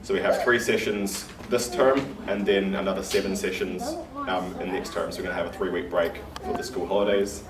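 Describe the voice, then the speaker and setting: A man opening a community workshop in a relaxed, casual way, with many fillers and frequent chuckles. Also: low voice, fairly quick, few pauses, crowd noise. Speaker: workshop organiser. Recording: roomy, distant, noisy room.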